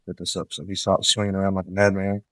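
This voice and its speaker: Low-voiced man, slightly nasal, dry sound. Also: quick pace, dry recording. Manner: relaxed, rambling, gives tips while playing. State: coming down with a cold. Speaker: gameplay commentator. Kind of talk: casual let's-play commentary.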